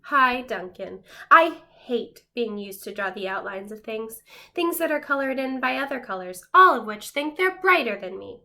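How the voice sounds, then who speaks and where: Lady doing an annoyed slighted crayon for a storybook, high bright voice, emphatic. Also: sing-song, normal speed, creaky, heavily acted. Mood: resentful. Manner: aggrieved, emphatic.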